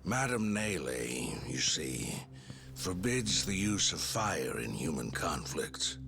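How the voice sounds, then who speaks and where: A sanctimonious, menacing male sage in a dramatic video game. His very deep voice moves slowly with formal, serene control and expressive swells, over music. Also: vocal fry, heavily acted. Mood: calm command.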